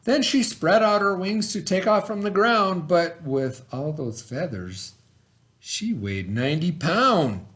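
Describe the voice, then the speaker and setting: A man reading a rhyming children's story aloud in a theatrical, expressive way, with dramatic pauses. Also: high-pitched. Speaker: storybook reader.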